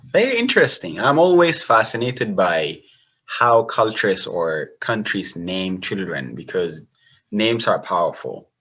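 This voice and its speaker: A man's voice: low-pitched, sing-song, unhurried, a bit bright, breathy edge, lo-fi sound. A man hosting a casual interview, playful and teasing. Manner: playful, jokes and teases. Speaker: host.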